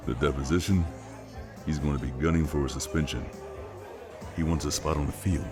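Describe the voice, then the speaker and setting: A man playing a weary, cautious operative and new father in naturalistic drama, measured and understated. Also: a bass voice, fairly even intonation. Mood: wary, serious. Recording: background music and crowd under dialogue.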